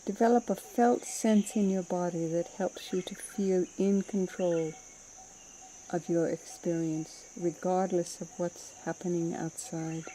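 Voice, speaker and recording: A woman with a very soft, dark and relaxed tone guides a mindfulness meditation. She speaks slowly and soothingly, leaving gentle gaps between phrases, in a slightly low voice. Insects chirp audibly behind her. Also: slight background noise, low-quality recording. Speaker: meditation teacher.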